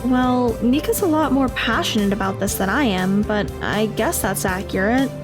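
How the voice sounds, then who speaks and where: A woman playing a reserved, level-headed teenage girl in an audio drama, measured and matter-of-fact. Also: a little high, animated, over music, close to an everyday voice. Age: teens.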